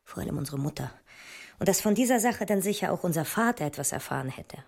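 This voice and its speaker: An actress playing a sceptical, searching woman mathematician in a literary radio drama, with a husky, breathy voice that often nears a whisper. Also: on the low side, lively intonation, fast, darkish tone. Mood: grave, confiding.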